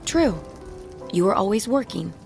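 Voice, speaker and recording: An actress playing a sharp-tongued, wounded ex-wife in a radio drama, guarded and full of biting, sarcastic retorts. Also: female voice, medium pitch, animated, normal speed, vocal fry, over music. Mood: bitter, angry.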